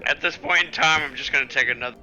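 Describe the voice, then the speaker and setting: A man's voice: medium pitch, quick pace, low-quality recording. A man chatting casually as a player in a tabletop game.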